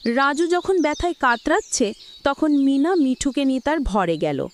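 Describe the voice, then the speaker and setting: A woman's voice: high voice, lively intonation, normal speed, slight background noise. A woman narrating a scripted children's story as the storyteller.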